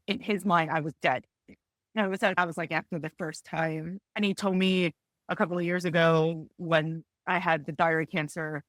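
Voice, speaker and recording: A woman in her early forties giving personal testimony on a panel, speaking candidly and haltingly, often circling back to repeat phrases. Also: fairly low pitch, fairly bright tone, no echo. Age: early 40s. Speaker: panelist, cancer patient and mother.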